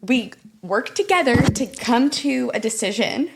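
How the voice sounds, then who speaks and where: A woman's voice: a little high, expressive, measured pace, gravelly, background noise. An American woman co-hosting in casual chat, informal, reacting expressively and acting out imagined quotes. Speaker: podcast co-host.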